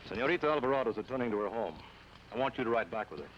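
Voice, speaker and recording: A man's voice: medium pitch, animated, racing, a little hiss or hum, lightly acted. A man playing a brooding, commanding outlaw. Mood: cold. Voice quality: curt, commanding. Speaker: outlaw leader. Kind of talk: 1940s radio acting. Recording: dull old radio broadcast.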